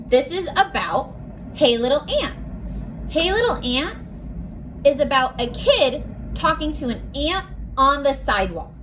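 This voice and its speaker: A woman's voice: high-pitched, a bit bright. A woman teacher leading a read-aloud lesson for young children, guiding them with simple questions and encouragement. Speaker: elementary school teacher.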